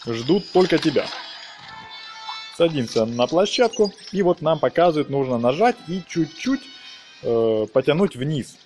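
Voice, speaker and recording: A man's voice: a little high, lively intonation, unhurried, a little mellow, faint music behind. A man giving a casual, playful walkthrough commentary on a video game, chatting to the characters as he plays. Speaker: gaming video host.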